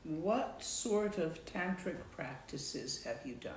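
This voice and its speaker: Slow-spoken woman teaching meditation. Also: fairly low pitch, lively intonation, a little mellow, reverberant, a little hiss or hum. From Latvian background. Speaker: Dzogchen teacher. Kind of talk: answering a student's question.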